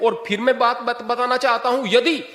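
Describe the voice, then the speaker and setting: A man's voice: extremely high pitch, fairly even intonation, moderate pace, fairly bright tone. A man giving an earnest, explanatory statement as a holy man, reasoning formally in learned wording, recorded in a reverberant room.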